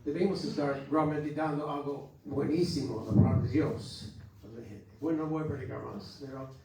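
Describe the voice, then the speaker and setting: American guy preaching sunday school, kinda high voice, far from the mic in a big echoey room. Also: fairly even intonation, gravelly, background noise. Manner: earnest, exhorting with halting restarts. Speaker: pastor. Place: church hall. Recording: echoey church hall from far off.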